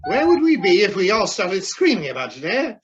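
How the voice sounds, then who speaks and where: A man acting a crotchety, outspoken old gentleman, formal and pompous, in a radio drama. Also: high-pitched, wildly swooping pitch, a little fast, lo-fi sound. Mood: scolding. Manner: blustering, pompous.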